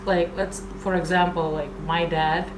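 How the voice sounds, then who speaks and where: A woman's voice: lowish voice, level tone, measured pace. A woman co-hosting a podcast in casual chat, easygoing and agreeable, leaning on "like" a lot. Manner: easygoing, agreeable, uses "like" often. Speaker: podcast co-host. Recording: echoey room with background noise.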